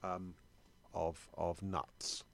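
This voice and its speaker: A man's voice: deep voice, level tone, very slow, vocal fry, heavily acted. A man playing an anxious middle-aged British sweet-shop customer in improvised comedy, rambling, hesitant and stammering as he doubts himself. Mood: awkward, hesitant. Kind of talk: improvised comedy monologue and sketch.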